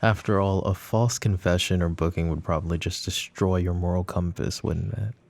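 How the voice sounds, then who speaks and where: A man voicing a smug, gravelly mafia boss. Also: low-pitched, steady tone, moderate pace, a little mellow. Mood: smug teasing. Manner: teasing, taunting, calls her pet names. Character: smug flirtatious male mafia boss under questioning. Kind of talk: roleplay ASMR.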